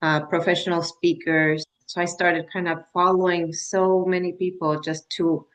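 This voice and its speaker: A woman in her fifties from Mexico, a menopause wellness coach, talking on a video call in a halting way, with many restarts and fillers. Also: fairly low pitch, little pitch movement, poor audio. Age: 50s. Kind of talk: casual video-call conversation.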